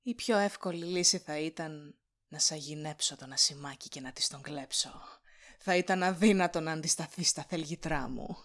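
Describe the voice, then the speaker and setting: A woman's voice: deep voice, fairly bright tone. Woman acting a haughty scheming villainess. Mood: smug, plotting. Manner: condescending, cutting. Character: haughty scheming vain female boss, cold villain. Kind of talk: Greek audio drama acting.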